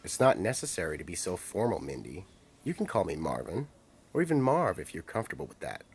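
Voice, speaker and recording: A man acts out a bossy male supervisor in a radio play, familiar and insistent. His pitch swoops up and down dramatically, and there is faint noise behind him. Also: big pitch swings, fairly quick. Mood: over-familiar. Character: male office supervisor, familiar but nagging boss. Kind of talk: radio mystery drama acting.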